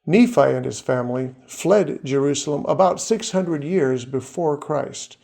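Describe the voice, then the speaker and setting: A man narrating a scripted talk in a measured, even manner as he works through lists of names and facts. His voice is low and mellow, with a gravelly edge. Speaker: series narrator.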